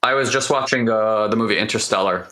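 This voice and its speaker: Middle-aged male co-host, a bit hoarse, lowish and bright-toned, earnest and rambling. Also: a little fast. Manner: rambling, restarts often, earnest. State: voice partly lost, hoarse. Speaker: podcast co-host. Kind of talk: casual spiritual coaching talk.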